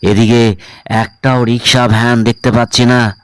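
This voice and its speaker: A man plays an uneasy young man in a horror cartoon, stranded at night. He puzzles aloud to himself slowly, in a medium-pitched voice. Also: flat delivery, smooth, lightly acted. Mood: worried. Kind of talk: cartoon story dialogue.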